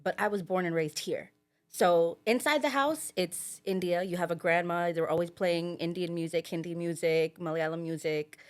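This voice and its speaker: A young adult woman, a former student and podcast guest, telling stories in an upbeat, warm way. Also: on the low side, steady tone, normal speed. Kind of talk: casual podcast chat.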